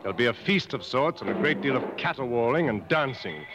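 A man's voice: medium pitch, animated, brisk, over music, lightly acted. An actor playing a seasoned, world-weary male jungle guide in a radio drama, speaking in a knowing, didactic, dryly fatalistic way. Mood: matter-of-fact. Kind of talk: mid-century American radio drama acting. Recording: dull vintage radio recording, faint noise.

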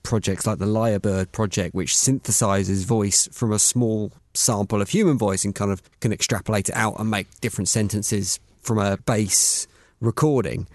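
A man's voice: on the low side, a little mellow, dry recording, studio quality. A man hosting a podcast interview, putting questions to his guests in a conversational style.

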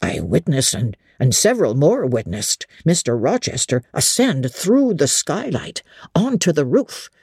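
A woman reads aloud as a gossipy old country manservant from a classic novel, his voice dropped low and husky. He drawls in rustic old-fashioned dialect, his pitch rising and falling with lively swings as he spins a grim tale in a storybook reading. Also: a little slow, no room sound, studio quality, heavily acted. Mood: horrified. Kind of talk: dramatic audiobook reading.

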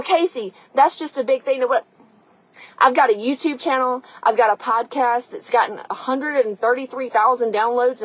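A woman with a high voice and a raspy edge delivers a tough-love motivational monologue as a coach. She is blunt, emphatic and sweary, speaking directly to the listener in a conversational way. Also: dry recording, poor audio. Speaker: podcast host and coach.

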